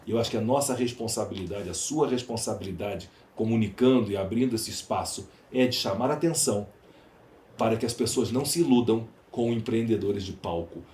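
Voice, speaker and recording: A man's voice: fairly low pitch, fairly quick, a little mellow. A man with a Brazilian accent speaking as a podcast guest, emphatic and repeating phrases for stress in conversational answers. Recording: roomy echo, clear background noise.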